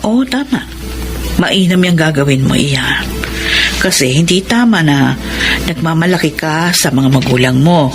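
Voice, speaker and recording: A woman's voice: low voice, lively intonation, unhurried, long pauses. Radio drama kind middle-aged mother: patient, devout, earnestly counselling, with prominent music underneath. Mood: gentle, reassuring. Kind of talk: Filipino radio drama acting.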